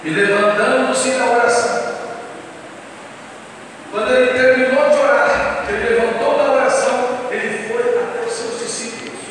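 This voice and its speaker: A man's voice: extremely high pitch, steady tone, normal speed, pauses often, a bit bright. A Brazilian man preaching a fervent sermon, emphatic and repetitive, reading scripture and putting questions to the congregation. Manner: emphatic, repeats phrases, poses questions to the congregation. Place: church hall, indoors. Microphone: handheld mic through PA, captured by distant camera. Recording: echoey noisy hall, recorded from far off.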